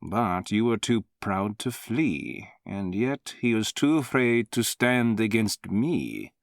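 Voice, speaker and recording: Scheming prince villain for a visual novel: unhurried, measured, sneering menace, close dry sound. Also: male voice, on the low side, lively intonation, creaky, no room sound, exaggerated voice. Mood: mocking, accusing. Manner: measured, mocking, threatening. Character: scheming, arrogant young noble prince, menacing nephew. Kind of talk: dramatic visual novel acting.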